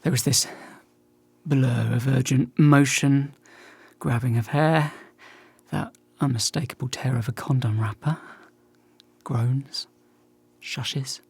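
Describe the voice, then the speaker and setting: A man's voice: medium pitch, steady tone, slow, creaky, darkish tone, husky, dry recording, full character voice. An actor playing an awkward, wounded bisexual man of about forty in a radio drama, talkative, hesitant and self-deprecating. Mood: wry storytelling. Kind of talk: naturalistic radio drama acting.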